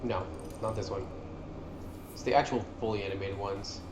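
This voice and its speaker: A man's voice: on the low side, steady tone, normal speed, background noise. A man giving casual, informal commentary on game cutscenes as an enthusiastic fan presenting his favorites. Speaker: video creator presenting a favorites list. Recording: roomy echo with music behind. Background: game cutscene music.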